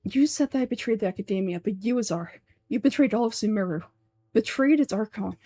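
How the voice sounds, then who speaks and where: A woman voicing a calm, self-assured young male scholar from a game, measured and pointed in delivery. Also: mid-range voice, husky, no echo. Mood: accusing. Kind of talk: amateur voice-over of game dialogue.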